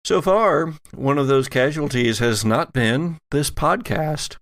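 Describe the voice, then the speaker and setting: Slow-talking man with a gravelly edge. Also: a little high, a bit bright, no room sound, studio quality. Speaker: podcast host and game master. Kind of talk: casual chat.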